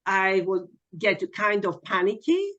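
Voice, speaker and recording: A woman's voice: medium pitch, animated, a little slow, fairly bright tone. A woman in her sixties from Greece, a guest hypnotherapist chatting and telling her story in a podcast interview. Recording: muffled lo-fi remote audio.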